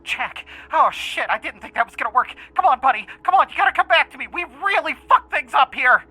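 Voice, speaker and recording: A man's voice: very high-pitched, lively intonation, fairly quick, sharp tone, faint music behind, heavily acted. A man playing a frantic, foul-mouthed friend in an audio drama, urgent and exclaiming. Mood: frantic pleading.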